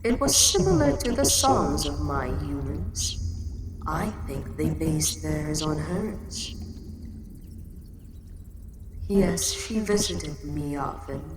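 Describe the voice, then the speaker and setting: Low, slow, echoing giant monster queen, acted by a woman. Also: animated, over loud music. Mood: wistful, fond. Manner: measured, matter-of-fact, slightly formal speech. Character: giant female kaiju queen, ancient, regal, calm and protective. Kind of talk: scripted roleplay audio drama monologue. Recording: very echoey cavern-like reverb, loud background noise.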